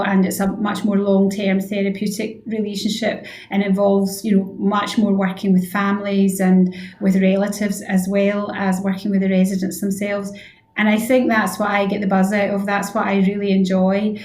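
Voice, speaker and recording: A woman's voice: medium pitch, a little mellow. A Scottish woman of about sixty answering conversationally as a guest in a radio interview. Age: around 60. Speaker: interview guest, head physiotherapist. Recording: roomy, echoing room sound.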